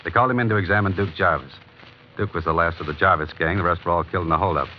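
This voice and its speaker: A man acts a cool, tough private eye from vintage radio drama. His voice is deep and his talk slangy and needling. The recording is dull, with faint noise behind it. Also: racing, close to an everyday voice. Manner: hard-boiled, slangy, unflustered. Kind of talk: 1940s radio crime drama acting. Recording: muffled, noisy old radio broadcast.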